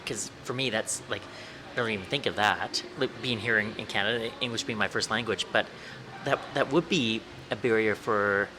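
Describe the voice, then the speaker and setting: Halting, thoughtful man hosting a podcast. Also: fairly low pitch, background noise. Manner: thoughtful, halting questions with many restarts. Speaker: podcast host. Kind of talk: podcast interview.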